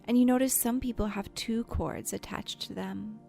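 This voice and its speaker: A woman leading a guided imagery meditation in a soft, soothing, gentle voice that turns breathy at times, with unhurried pauses. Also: mid-range voice, steady tone. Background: soft background music.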